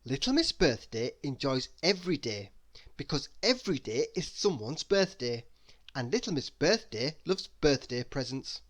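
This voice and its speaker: A children's storybook narrator with a mid-range voice. The delivery is animated and expressive, with emphasis placed for young listeners. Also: mid-range voice. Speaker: storybook reader. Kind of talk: children's read-aloud narration.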